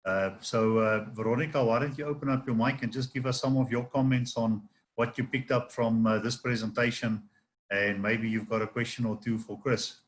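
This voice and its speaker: A man moderating an online session on a video call, calm and plain as he invites people to speak. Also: mid-range voice, normal speed. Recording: faint background noise.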